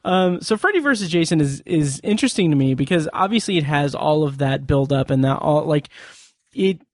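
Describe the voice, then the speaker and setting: Slightly high-voiced man, halting and hoarse. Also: expressive, no room sound, clean studio sound. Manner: halting, searching for words. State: voice getting hoarse. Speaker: podcast co-host. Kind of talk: casual chat.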